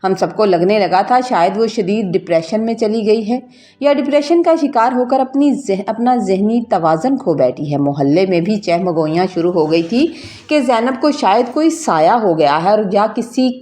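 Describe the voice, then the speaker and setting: A woman's voice: mid-range voice, expressive, mellow. A woman narrating a scripted, emotional story in a dramatic, sentimental storytelling manner.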